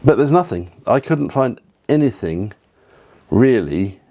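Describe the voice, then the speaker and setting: A man's voice: mid-range voice, sing-song, a little slow, warm tone. A man giving casual family travel advice, chatty and opinionated, with frequent ums and asides. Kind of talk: casual advice talk to camera.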